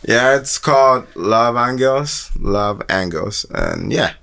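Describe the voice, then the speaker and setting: A man in casual chat as a musician guest, telling stories in a jokey, slangy, animated way, with a Ghanaian accent. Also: medium pitch, takes it slowly, gravelly.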